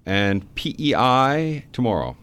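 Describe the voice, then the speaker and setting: A man being interviewed about his book in a radio studio, talking in a relaxed, hesitant way with many fillers. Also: mid-range voice, slow pace, clear, resonant tone. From Canada. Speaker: author guest.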